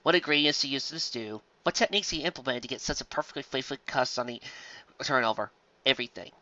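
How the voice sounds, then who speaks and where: A reader reading a pony fan story aloud with expressive storytelling. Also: mid-range voice, fast talker, highs cut off.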